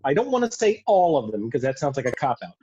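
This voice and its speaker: A man's voice: medium pitch, big pitch swings, quick pace, dark tone, slightly rough. A man talking casually in a group chat over muffled online call audio. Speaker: cast member of a puppet show. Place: video call.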